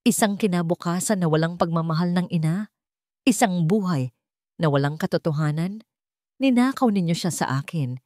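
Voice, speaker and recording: Woman voicing a defiant young Filipino heiress, lowish voice. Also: moderate pace, a little mellow, no room sound, clean studio sound, lightly acted. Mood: bitter, angry. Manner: firm, emphatic declarations. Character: wronged young heiress, defiant, wounded and resolute. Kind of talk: dramatic storybook character reading.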